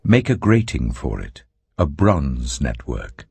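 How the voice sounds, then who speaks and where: A man reading scripture aloud in a measured, deliberate way. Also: extremely low pitch, wildly swooping pitch, gravelly, breathy edge, no room sound. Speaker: Bible reader. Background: soft piano music.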